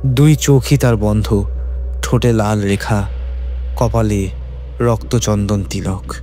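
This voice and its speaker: Mellow male horror story narrator. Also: on the low side, steady tone, slow pace. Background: background music.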